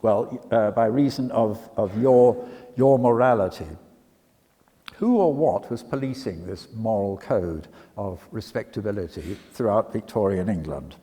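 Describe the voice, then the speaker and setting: A man's voice: lowish voice, measured pace, mellow. An older man giving a public literary talk as a lecturer, hesitant and often repeating words between polished phrases. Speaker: lecturer and dramatic reader. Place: talk in a church before an audience. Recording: reverberant church, long echo.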